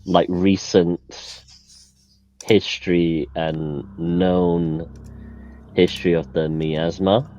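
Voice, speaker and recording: Slow, deep-voiced hesitant man. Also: warm tone, dull audio, slight background noise. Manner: hesitant, lots of "uh" and restarts. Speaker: tabletop game player. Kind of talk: casual table talk planning in-game.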